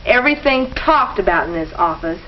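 A young woman playing a firm, businesslike teenage club leader in a 1950s school film, speaking briskly. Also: medium pitch, lively intonation, lightly acted. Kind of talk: 1950s educational film acting. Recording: muffled old film soundtrack with hiss.

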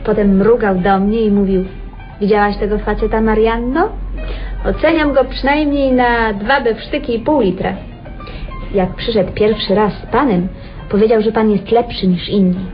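A woman's voice: mid-range voice, steady tone, moderate pace, natural voice. A woman acting in a vintage radio play as a good-natured, naive waitress, talking in a casual, gossipy way. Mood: confiding. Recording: dull old radio recording with music.

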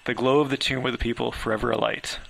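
A man's voice: medium pitch, flat delivery, quick pace, creaky, fairly bright tone, poor audio. A man reading his own story aloud as amateur first-person narration, occasionally stumbling over words.

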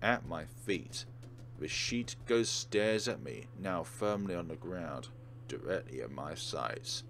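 A man's voice: low voice, a little hiss or hum. A man hosting a let's play, reading a visual novel aloud dramatically with casual commentary. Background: game music.

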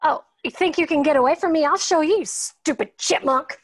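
A woman's voice: high voice, fairly quick, close to an everyday voice. A woman reading a brash, aggressive, violent woman in a comedy table read.

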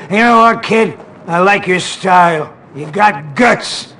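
Casting: boozy, homeless ex-soldier for a game, erratic and threatening, forceful high voice, slow delivery. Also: male voice, a little hiss or hum, heavily acted. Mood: sly, approving. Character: volatile, boozy homeless Korean War veteran. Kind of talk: video game cutscene acting.

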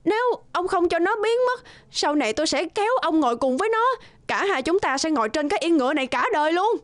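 High-pitched, scolding bossy wife. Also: female voice, lively intonation, quick pace, dry recording. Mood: exasperated, scolding. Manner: scolding, exclamatory. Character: fat bossy nagging middle-aged wife, exasperated. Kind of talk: children's fairy-tale cartoon dub.

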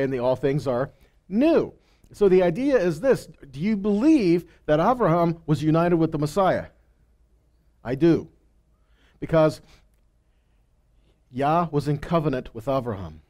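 Male Bible teacher, middle-aged, slightly high register, question-driven sermon delivery on a headset mic. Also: dark tone. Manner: rhetorical questions with frequent check-ins like "okay?" and "right?". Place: indoor stage in a meeting hall.

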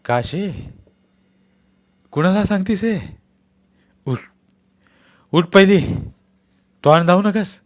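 A man doing a stubborn shouting patriarch. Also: on the high side, lively intonation, unhurried, pauses often, pitched above the performer's own voice. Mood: angry. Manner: angry shouting. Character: stubborn worn-out old village patriarch.

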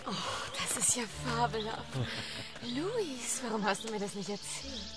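A woman's voice: a little high, a little slow, loud music behind the voice, lightly acted. An actress playing an engaged, questioning young wife and mother in a radio play. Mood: curious. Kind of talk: German radio play acting.

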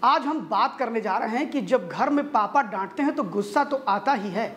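A woman presenting a news feature in scripted narration, with a brisk, even newsreader delivery that addresses viewers directly. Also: on the high side.

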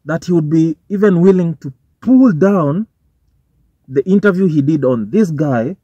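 A man's voice: fairly high pitch, expressive, measured pace, mellow, breathy edge, no echo. Kenyan man ranting in a car. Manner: informal, swearing, switching between English and Swahili slang. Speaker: vlogger. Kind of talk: casual commentary to camera. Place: parked car, indoors. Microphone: phone or camera on the dashboard. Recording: dull, dry car recording.

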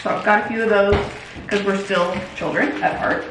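A woman's voice: mid-range voice, fairly even intonation, normal speed. An American woman in her thirties talking casually and chattily to camera, rambling along in girly slang as a lifestyle vlogger. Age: 30s. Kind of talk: casual chatty grocery haul vlog. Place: home kitchen, indoors. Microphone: camera mic a few feet away. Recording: echoey kitchen, clear background noise.

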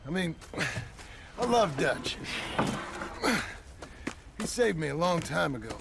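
A nervous young outlaw. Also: male voice, fairly high pitch, big pitch swings, takes it slowly, gravelly, very noisy, exaggerated voice. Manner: nervous and earnest. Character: anxious young male outlaw, family man. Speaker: outlaw gang member.

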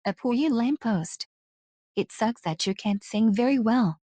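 A woman's voice: on the high side, lively intonation, measured pace, mellow, dry recording. A woman playing a sympathetic, plain-spoken female friend in an amateur cartoon parody.

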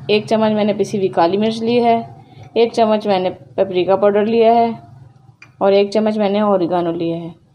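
Mellow-voiced woman explaining a recipe. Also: fairly high pitch. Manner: calm, methodical, names each step as she works. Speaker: cooking channel host. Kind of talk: step-by-step cooking instructions. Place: home kitchen.

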